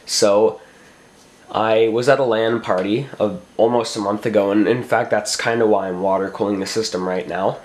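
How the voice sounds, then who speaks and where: A man's voice: lowish voice, steady tone, normal speed. A young man with a cold, rambling casually through a home tech show-and-tell, full of fillers and self-corrections. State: recovering from a cold. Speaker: tech vlogger. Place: bedroom desk, indoors.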